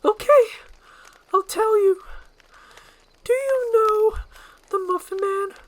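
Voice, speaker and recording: Tiny living cookie man woman performer, defiant yet panicky, very high squeal, storybook drama. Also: slow. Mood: panicked. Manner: squealing, indignant. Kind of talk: dramatized screenplay reading.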